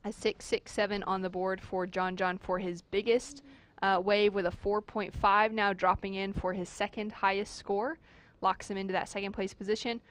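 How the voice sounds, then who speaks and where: A woman's voice: mid-range voice, steady tone, normal speed. A woman calls a surfing heat live from the commentary booth. She runs through scores and surfers in an upbeat, fluent play-by-play. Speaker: surf commentator.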